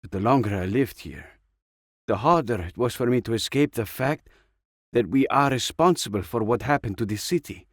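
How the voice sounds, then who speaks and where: A man's voice: medium pitch, expressive, normal speed, no room sound, studio quality, close to an everyday voice. A man voicing a weary, stern, principled middle-aged woman, an earnest veteran soldier, in an audiobook story. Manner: earnest, disapproving.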